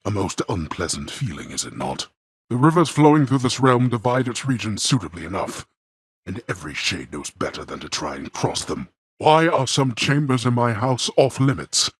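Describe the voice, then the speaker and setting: A man playing a stern, imperious male lord of the underworld in a video game, speaking in a cold, disdainful, formal manner with a very husky, gravelly voice. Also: mid-range voice, very animated, normal speed, no room sound, studio quality. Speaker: voice actor.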